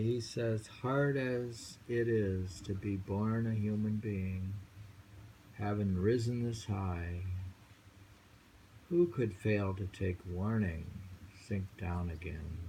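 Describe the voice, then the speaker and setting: Slow gravelly man musing over poems. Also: low-pitched, darkish tone, slight background noise, rough recording. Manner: slow, musing, with frequent hums. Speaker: poetry reader and host. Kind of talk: casual reading and discussion of poems.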